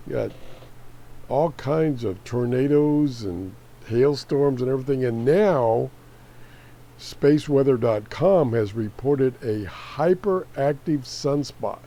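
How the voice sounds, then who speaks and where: A man hosting a hobby video with casual, unscripted commentary in a folksy, halting way. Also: mid-range voice, mellow. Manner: folksy, exclaiming, halting while browsing. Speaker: radio hobbyist video host.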